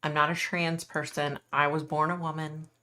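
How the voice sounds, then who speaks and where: A middle-aged woman with a deep voice, hosting a live stream and chatting bluntly with viewers, ranting and opinionated. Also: flat delivery, moderate pace, sharp tone. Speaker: psychic livestream host. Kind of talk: casual live chat answering viewers.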